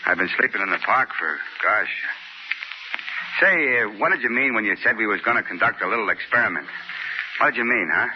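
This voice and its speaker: A naive, talkative male drifter, played by an actor in an old radio drama. His voice is fairly low and he rambles in a folksy, eager way, on a dull recording with faint noise. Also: expressive, a little fast, close to an everyday voice. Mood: chatty, pleased. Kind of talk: 1940s radio acting. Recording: dull, noisy vintage 1940s radio recording.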